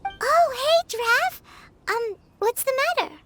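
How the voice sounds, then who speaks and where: A tiny floating fairy girl from a video game, squeaky and childlike, her voice sitting very high and leaping all over the place. She is blunt and excitable and talks about herself in the third person. Loud game music plays behind her. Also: takes it slowly, full character voice. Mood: friendly.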